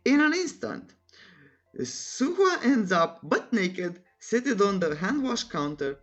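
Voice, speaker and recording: Cheeky woman narrator, very lively pitch. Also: takes it slowly, faint music behind. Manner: cheeky, tongue-in-cheek, coy euphemisms. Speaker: recap channel narrator. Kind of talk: scripted story recap narration with playful asides.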